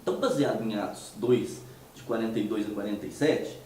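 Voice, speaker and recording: A man from Minas Gerais with a Mineiro Brazilian Portuguese accent, hoarse from a cold, hosting a conversational commentary monologue with hesitant restarts and rhetorical questions. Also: lowish voice, brisk, darkish tone, heavy reverb, recorded from a distance, a little hiss or hum, low-quality recording. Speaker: host of a commentary show.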